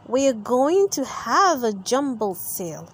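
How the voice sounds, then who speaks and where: Casting: keen storybook gazelle teacher woman performer, high and slow, announcing to all. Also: sing-song, husky, exaggerated voice. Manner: announcing, encouraging. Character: Madame Gazelle, keen female gazelle schoolteacher announcing. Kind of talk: children's storybook read-aloud.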